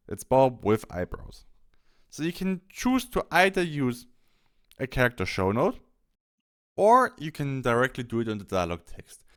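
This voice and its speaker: Clear-voiced man with swooping, varied intonation. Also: mid-range voice. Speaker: software tutorial presenter. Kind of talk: instructional screen-capture walkthrough.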